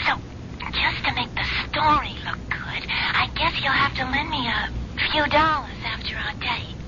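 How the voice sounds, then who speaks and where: A coy waitress on the phone. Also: female voice, sits high, measured pace. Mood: flirty. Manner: coy, flirtatious. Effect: telephone voice. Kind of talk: 1970s radio drama acting. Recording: tinny phone line with hiss.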